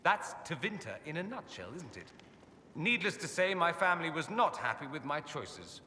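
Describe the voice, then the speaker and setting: A suave, self-flattering noble mage for a fantasy game. His voice is very bright and crisp-edged, swooping theatrically with dry wit. It rings in a reverberant hall. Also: on the high side, normal speed, a little hiss or hum. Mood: theatrical, self-mocking. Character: witty, vain aristocratic male mage, sardonic rebel exile. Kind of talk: video game dialogue acting.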